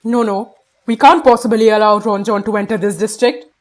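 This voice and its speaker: A woman's voice: medium pitch, steady tone, a little fast, noisy background, close to an everyday voice. A woman playing a stern, indignant governor in an audio play, commanding in manner.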